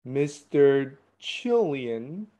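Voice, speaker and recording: A man hosting an online teachers' meeting, calling on each teacher in turn and filling pauses with ums. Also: mid-range voice, sing-song, mellow. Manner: slow, hesitant with many fillers. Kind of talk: hosting a video call.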